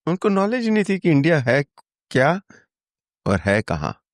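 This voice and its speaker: A man's voice: a little high, wildly swooping pitch, normal speed, no room sound, studio quality. An older man with an Indian accent, a podcast guest telling anecdotes and explaining in a conversational way, switching between Hindi and English. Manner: explanatory, illustrates with anecdotes and asides.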